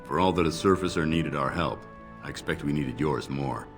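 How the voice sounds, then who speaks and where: A man voicing a formal, grateful ally in a fantasy game, courteous, with a gravelly voice. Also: rumbling low, moderate pace, music in the background.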